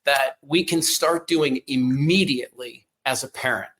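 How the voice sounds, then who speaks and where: A man hosts a podcast interview. He is eager and keeps chiming in with quick agreements while guiding the guest. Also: medium pitch, fairly bright tone. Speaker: podcast host.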